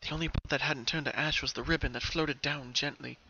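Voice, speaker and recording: A man's voice: on the high side, fairly even intonation, brisk, pushed and bright, lo-fi sound. A man narrating a story from a script as a dramatic reading.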